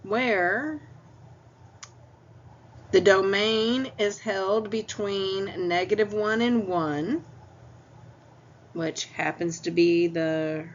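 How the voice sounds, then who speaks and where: A woman teaching math in a recorded lecture, explaining each step patiently, with a stuffy nose and clearing her throat now and then. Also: medium pitch, steady tone, extremely slow, long pauses. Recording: dull lo-fi audio with faint hum.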